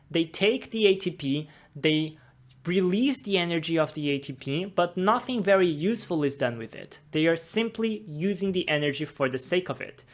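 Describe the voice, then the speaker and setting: A young man from Brazil, a podcast host, patiently explaining science in plain steps before an interview. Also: on the high side. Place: office room with a table. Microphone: desk mic on a boom arm.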